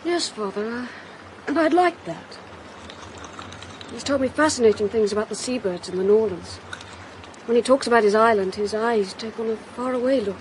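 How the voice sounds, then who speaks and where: A woman's voice: fairly high pitch, moderate pace, long pauses, a little hiss or hum, lightly acted, low-quality recording. A woman voicing an eager young schoolboy who keeps hawks, talking earnestly in a radio play. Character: eager young schoolboy son, keen falconer. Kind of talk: radio drama acting.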